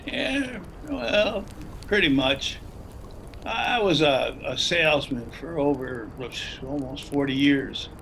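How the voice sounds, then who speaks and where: A man's voice: on the high side, expressive, takes it slowly, vocal fry, fairly bright tone, a little hiss or hum, heavily acted, poor audio. A man playing an older, folksy retired salesman and widower in a zombie drama table read. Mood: wistful, sad. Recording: video-call read over music.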